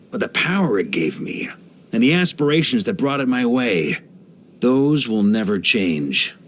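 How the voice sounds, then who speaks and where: Laid-back middle-aged knight boss from a video game, jovial, kinda theatrical, voice swings around a lot. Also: male voice, lowish voice. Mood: musing, amused. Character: genial, easygoing middle-aged male knight commander. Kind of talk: English video game character voice lines.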